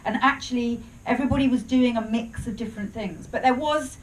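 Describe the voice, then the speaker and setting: A woman's voice: fairly high pitch, fairly quick. Woman, researcher, answering questions, restarts often, distant mic, faint room noise. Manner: thoughtful, frequent restarts and hedges. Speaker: researcher presenting at a briefing. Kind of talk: academic Q&A answer.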